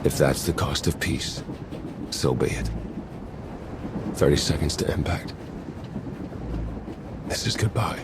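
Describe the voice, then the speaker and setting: Very deep, grim turncoat. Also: male voice, expressive, takes it slowly, long pauses, background noise, exaggerated voice. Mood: grim resignation. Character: male traitor spy, bitter, coldly determined former ally. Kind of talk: cinematic video game voice acting.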